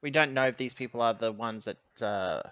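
A man's voice: mid-range voice, a little fast, fairly bright tone, no echo, lo-fi sound. Male tabletop player, dry close sound. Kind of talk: in-game table discussion.

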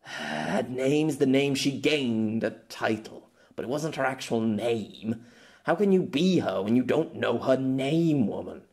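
A man's voice: mid-range voice, big pitch swings, unhurried, gravelly, darkish tone. A man voicing a desperate, bitter middle-aged exile in a fantasy audio story, stammering and indignant. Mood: bitter, disheartened. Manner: stammering, indignant, dramatic outbursts.

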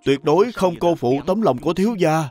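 An actor playing a young, deferential manservant, humble and earnest, in an animated drama. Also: male voice, a little high, fairly quick, soft background music, natural voice. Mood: apologetic, earnest.

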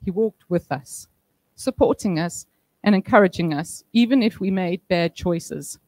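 A woman's voice: on the low side, a little slow, dry recording. Woman giving a heartfelt eulogy. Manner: heartfelt, with light humor and soft laughs. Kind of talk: memorial eulogy. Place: indoor function room at a memorial service. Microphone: handheld microphone.

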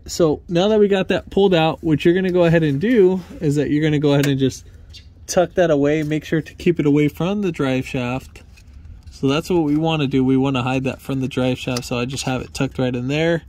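A man in his thirties, an auto mechanic, talks viewers through a repair in an informal, halting, conversational way into a handheld phone. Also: a little high, darkish tone. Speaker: auto mechanic and channel host. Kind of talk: informal hands-on repair explainer to camera. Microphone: handheld phone at arm's length.

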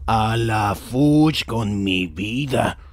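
A man's voice: fairly low pitch, lively intonation, drawn-out words. A man voicing a small sarcastic fly sidekick with a dry, sardonic delivery.

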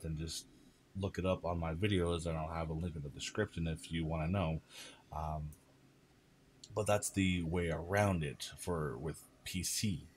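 A man giving a casual, informal software tutorial, chatting to viewers as he goes. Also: sits low, a little hiss or hum. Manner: informal, addresses viewers as guys. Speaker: video creator, tutorial host. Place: indoors at a computer.

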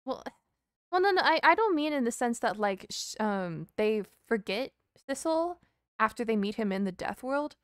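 A woman's voice: fairly high pitch, lively intonation, moderate pace, clear, resonant tone, no echo, studio quality. A woman live streamer chatting casually over a game, rambling and hesitant, swearing now and then. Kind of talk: casual chat, game playthrough commentary. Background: game music.

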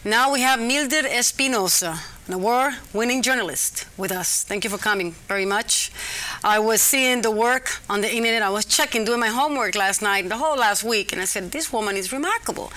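A woman's voice: fairly high pitch, fairly quick, bright, forceful tone. A woman from Costa Rica hosting a TV talk show, chatting effusively and repeating herself, on a studio set with a lapel mic. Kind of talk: casual TV interview chat.

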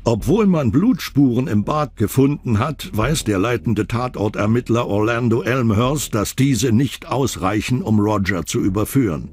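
A man's voice: medium pitch, expressive, normal speed, hardly any pauses, darkish tone, slightly rough. A man reads scripted documentary narration as the narrator of a crime series.

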